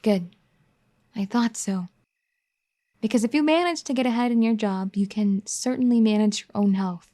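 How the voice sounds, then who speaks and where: A caring young wife, firm and a little bossy, as a woman acts her out. Her voice is mellow and dark, soft-spoken and gently scolding, in an ASMR roleplay style. She talks at an ordinary middle pitch. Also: moderate pace, long pauses, natural voice.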